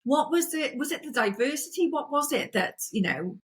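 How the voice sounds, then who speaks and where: A woman's voice: high voice, expressive, normal speed, a bit bright. Upbeat woman podcast host. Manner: upbeat, encouraging interviewer. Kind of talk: scripted intro, then interview chat.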